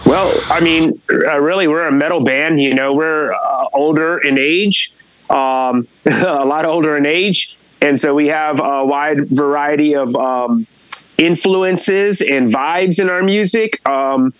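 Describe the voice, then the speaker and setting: A man in his late forties from Puerto Rico, a metal guitarist giving a relaxed, rambling interview with lots of fillers. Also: on the high side, slow, no echo, rough recording. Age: 40s. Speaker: metal band guitarist, guest. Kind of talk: casual interview.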